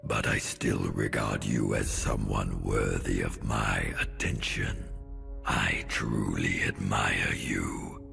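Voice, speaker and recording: An extremely deep, slow-talking arch-villain for a fantasy game. His voice is thick with gravel, and he sounds suave, gloating and coldly patronizing. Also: fairly even intonation, music in the background, exaggerated voice. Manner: unhurried, mock-courteous, gloating. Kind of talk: dramatic video game villain acting.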